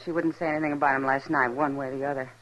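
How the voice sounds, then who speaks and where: A woman's voice: a bass voice, fairly even intonation, very fast, a bit bright. An actress playing a worried, headstrong frontier woman in a radio western, speaking earnestly and insistently. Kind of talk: old-time radio western acting. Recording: muffled vintage radio recording, faint noise.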